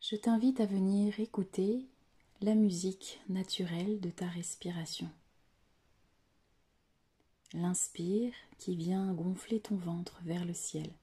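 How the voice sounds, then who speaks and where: A woman guides a meditation in a very husky, breathy voice that often sinks toward a whisper. She speaks very slowly and deliberately, leaving long pauses between short phrases. Her tone is mellow and soothing, pitched a little low. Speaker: yoga nidra guide.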